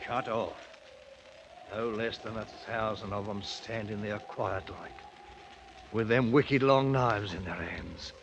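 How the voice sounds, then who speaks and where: An actor playing a stubborn British ex-soldier turned king, with a working-class English accent and a commanding, rough-spoken manner, in 1950s radio drama style. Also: fairly low pitch, slow, slight background noise. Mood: grim, resigned. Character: proud, stubborn British ex-soldier crowned king of hill country. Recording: vintage 1950s radio broadcast, loud music.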